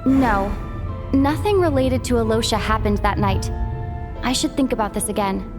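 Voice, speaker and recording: A woman playing an earnest young female sleuth in a game dub, reasoning deliberately and with determination, step by step. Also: on the high side, music in the background, natural voice. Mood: determined, reasoning. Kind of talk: dramatic game dub acting.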